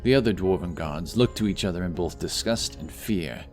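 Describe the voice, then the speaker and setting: Deep-voiced man narrating fantasy. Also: normal speed. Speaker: audiobook narrator. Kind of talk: dramatic scripted fantasy narration. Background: music.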